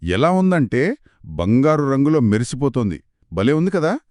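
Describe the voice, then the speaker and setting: A man's voice: medium pitch, sing-song, moderate pace, a little mellow, dry recording, studio quality. A man co-narrating a moral folktale, asking curious questions to move the story along. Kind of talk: scripted two-voice folktale narration.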